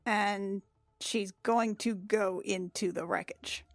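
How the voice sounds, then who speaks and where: A woman's voice: mid-range voice, steady tone, slow pace, no room sound. A woman playing a tabletop role-playing game, chatting casually with an irreverent, sweary manner.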